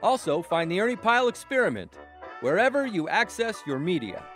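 An American man reads scripted podcast credits. His voice is fairly high and animated, over clear background music. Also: fairly quick. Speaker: credits announcer.

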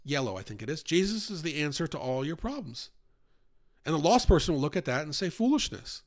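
A man's voice: fairly high pitch, big pitch swings, brisk. A man leading an informal Bible study podcast, talking in an earnest, conversational way and pushing his voice up for emphasis.

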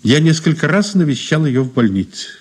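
A man's voice: on the high side, big pitch swings, brisk, breathy edge. An actor playing a shrewd, ironic old man under questioning in a 1980s Soviet radio play, courteous and condescending. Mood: accusing. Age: older. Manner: courteous, sly, condescending endearments.

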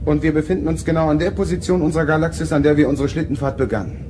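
Man playing a higher-pitched brisk starship officer. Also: dark tone, a little hiss or hum, natural voice. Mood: alert. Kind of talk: German audio drama acting. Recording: studio drama with music underneath.

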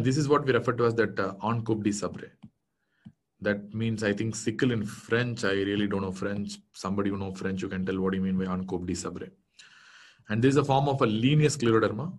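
Indian male lecturer, 30s, lowish tone, chatty and explanatory, full of fillers. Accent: Indian English. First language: Tamil. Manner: explanatory, conversational, many fillers and self-corrections. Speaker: medical lecturer. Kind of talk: online exam-prep lecture. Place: small indoor room.

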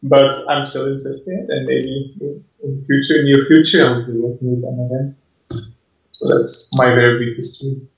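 Flat-voiced Belgian physio on a roomy line. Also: male voice, mid-range voice, vocal fry, slight background noise. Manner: measured, explanatory, frequent fillers. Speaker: physiotherapist guest. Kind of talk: online course interview. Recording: roomy, dull lo-fi sound.

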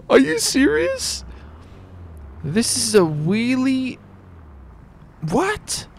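A man commentating his roleplay gameplay, excitable and hyped, his voice jumping when something surprises him. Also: extremely high pitch, drawn-out words, creaky. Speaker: gameplay streamer.

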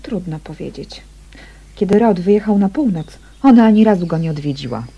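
An actress playing a gossipy neighbour in a radio mystery, confiding and often hushed while feigning discretion. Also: female voice, lowish voice, lively intonation, brisk, slightly rough, muffled recording. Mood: hushed, shocked. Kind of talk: radio mystery drama acting.

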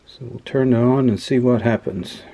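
A man giving a casual, unscripted hands-on demonstration in a matter-of-fact, measured way at an indoor workbench. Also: medium pitch, warm tone. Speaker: host of an electronics teardown video.

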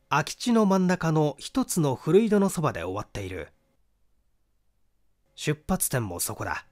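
A man narrating a mystery novel in a theatrical, deliberate storytelling manner. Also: on the high side. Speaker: audiobook narrator and stage actor.

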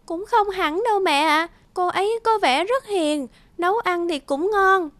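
A woman's voice: very high-pitched, unhurried, a bit bright, no room sound. A woman voicing a sullen, hurt young girl in a cartoon. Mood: wistful. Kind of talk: cartoon voice acting.

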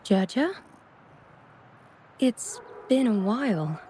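An actress voicing a self-doubting, remorseful woman painter in a video game, in a wistful, halting manner. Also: on the high side, lively intonation, mellow, slightly rough, heavily acted. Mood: warm, weary. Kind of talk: video game story dialogue.

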